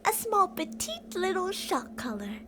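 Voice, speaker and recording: High-voiced obsessive yandere woman, acted by a woman. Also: huge pitch swings, unhurried, sharp tone, a little hiss or hum, heavily acted. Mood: smug, doting. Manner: intimate, cooing endearments, drops to whispers. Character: obsessive, possessive yandere woman, doting yet menacing captor. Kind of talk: ASMR roleplay, scripted monologue.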